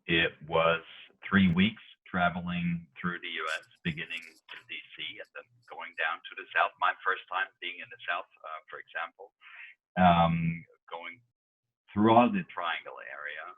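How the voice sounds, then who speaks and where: A German journalist, a man, answering interview questions in a relaxed way with many fillers. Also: low-pitched, measured pace. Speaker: journalist guest. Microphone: video call. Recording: tinny phone-like video call audio.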